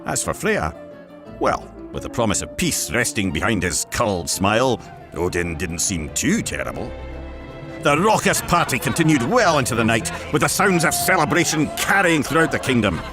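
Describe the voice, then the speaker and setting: Animated male storyteller over music. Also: mid-range voice, normal speed. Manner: theatrical, emphatic. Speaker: narrator. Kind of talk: dramatic scripted mythology storytelling. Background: background music.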